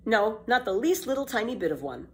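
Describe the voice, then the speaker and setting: A woman's voice: on the high side, very animated, fairly quick, pitched above the performer's own voice. A woman voicing a bold, boastful little girl in a storybook, with theatrical, emphatic, carefree delivery. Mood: breezy, cheerful. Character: bold, boastful, exuberant little girl telling tall tales. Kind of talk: children's storybook read-aloud.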